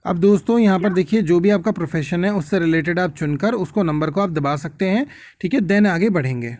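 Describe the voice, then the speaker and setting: A man's voice: high voice, fairly quick, darkish tone. A man giving a casual, instructive explanation to viewers, mixing in English words and often checking that they follow. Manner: instructive, repeatedly checks with "okay?". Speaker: quiz-prep tutor, channel host.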